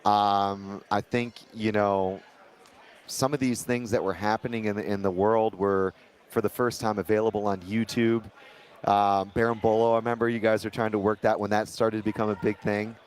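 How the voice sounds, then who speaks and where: A man with a fairly low voice conducting a casual interview. He asks friendly, meandering questions, leaning on fillers as he goes. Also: flat delivery, normal speed. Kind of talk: conversational interview.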